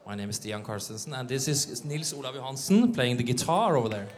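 A Norwegian man, an accordion player, chatting playfully on stage with hesitant, drawn-out fillers. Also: mid-range voice, animated, heavy background noise. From Norway. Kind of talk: onstage interview banter.

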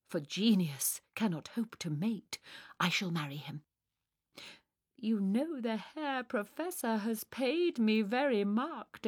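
A woman's voice: a little high, breathy edge, no echo, clean studio sound, voice pushed up. A woman playing a theatrical, self-pitying young woman with grand artistic airs in a dramatised literary story reading. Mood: wistful, self-important. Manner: theatrical, swooning, emphatic delivery.

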